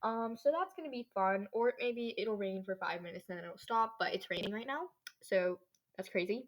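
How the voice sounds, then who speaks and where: A woman's voice: medium pitch, moderate pace. A teenage girl hosting a casual solo podcast, chatting in a rambling, slangy way. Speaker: podcast host. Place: own bedroom.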